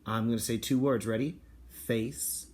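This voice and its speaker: A man's voice: medium pitch, fairly even intonation, unhurried, a little mellow. A man speaking as a kindergarten teacher, explaining and reading aloud to young children and parents.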